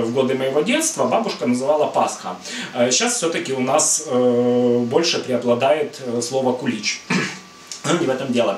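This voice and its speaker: A man's voice: mid-range voice, slight background noise. A middle-aged man from Ukraine hosting a cooking channel, explaining in a friendly, rambling way with many "uh" fillers, recorded in a home kitchen. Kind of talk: casual cooking talk to camera. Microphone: far camera. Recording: echoey kitchen, voice from a distance.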